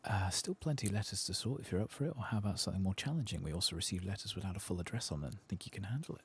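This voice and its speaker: A man acts out a harried fantasy-game postmaster, overworked and pleading for help. The voice is low and very husky, with a gravelly crackle, and hurries along softly. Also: lively intonation, very fast, exaggerated voice. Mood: hurried, coaxing. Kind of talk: reading game quest dialogue aloud.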